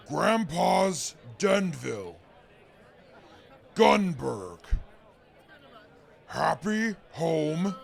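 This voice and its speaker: A man voicing a big gruff super mutant field reporter in an audio drama, pompous and halting, scornful of humans, bursting into shouts. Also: fairly high pitch, heavily acted.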